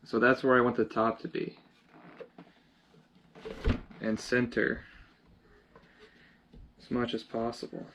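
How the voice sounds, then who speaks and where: A young man talks slowly and casually through a DIY job, halting and muttering asides to himself. The sound is dull and lo-fi, with noticeable noise behind him. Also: medium pitch, flat delivery, a bit bright. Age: young adult. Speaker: DIY vlogger. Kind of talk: casual hands-on project narration, half talking to himself. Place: living room, indoors.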